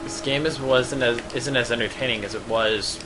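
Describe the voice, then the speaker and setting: Wry man with a medium-pitched voice. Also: steady tone, normal speed, music in the background, rough recording. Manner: wry, sarcastic. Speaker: let's play commentator. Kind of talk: casual game commentary.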